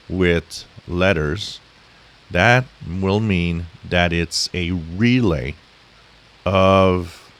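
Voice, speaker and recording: A man giving an informal, explanatory screen-share tutorial in a halting, rambling, instructive way. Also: deep voice. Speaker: shortwave radio hobbyist, tutorial host. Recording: steady hiss behind the voice.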